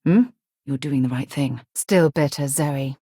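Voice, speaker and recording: A woman voicing a composed, principled space explorer in a video game, with articulate, measured, formal phrasing and a husky voice. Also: very deep voice, dark tone, dry recording, clean studio sound, exaggerated voice. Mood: firm, reproving. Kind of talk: video game companion voice lines.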